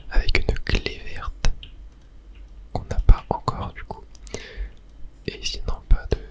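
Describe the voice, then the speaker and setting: A voice that stays in a soft, unvoiced whisper throughout. The delivery is calm and soothing, in the manner of an ASMR gaming host guiding listeners step by step through play. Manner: calm, soothing, narrating each step as it goes. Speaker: video game streamer, ASMR channel host. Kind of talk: whispered ASMR gameplay commentary.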